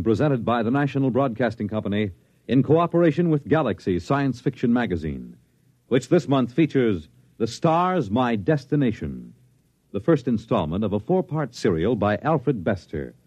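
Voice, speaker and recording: A man's voice: mid-range voice, sing-song, normal speed, no echo. A man with an American accent announcing from a script in 1950s network radio style. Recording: dull vintage radio broadcast, loud music.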